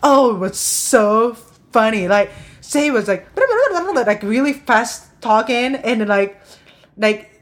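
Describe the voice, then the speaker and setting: A woman co-hosting a casual podcast chat, speaking halting non-native English with many fillers and frequent giggles. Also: on the high side, big pitch swings. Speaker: podcast co-host.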